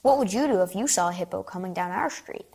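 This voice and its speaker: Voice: mid-range voice, animated, quick pace, darkish tone, husky. A performer playing a curious, mischievous young boy companion in a dramatic story reading, asking inquisitively.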